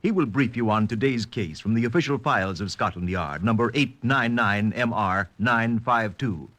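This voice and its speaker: A man's voice: on the low side, moderate pace, fairly bright tone. A man announcing a radio crime series in a formal, scripted mid-century style.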